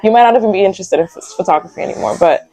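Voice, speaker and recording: A woman's voice: medium pitch, very fast, mellow. A young woman of Guyanese heritage, a magazine founder, chatting casually as an interview guest in a cafe, full of "like" fillers. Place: cafe and lounge.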